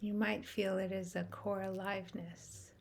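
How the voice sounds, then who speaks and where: A woman guides a meditation at a slow, unhurried pace. Her voice sits at an average pitch for a woman. She speaks softly and soothingly, setting out short measured phrases with long pauses between them. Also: flat delivery, creaky, a little hiss or hum.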